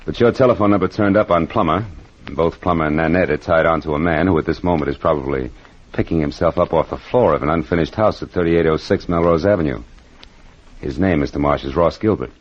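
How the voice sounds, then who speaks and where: Hard-boiled detective, American accent, wry, deep voice, old radio drama, muffled recording. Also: male voice, fast. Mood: dry, probing. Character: wry, tough male private detective narrating his case. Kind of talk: 1940s radio acting. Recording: dull vintage radio recording with music.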